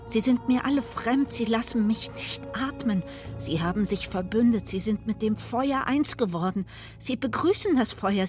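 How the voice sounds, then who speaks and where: Radio drama: woman as anguished mother trapped by fire, fairly high voice, breathless urgency, faint music behind. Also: a little mellow, lightly acted. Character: desperate mother trapped in her burning house.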